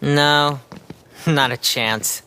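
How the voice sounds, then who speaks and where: Man as a bright-voiced, skeptical teenage boy. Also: a little high, steady tone, very slow, a little hiss or hum, full character voice, low-quality recording. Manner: questioning, matter-of-fact. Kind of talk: audiobook character dialogue.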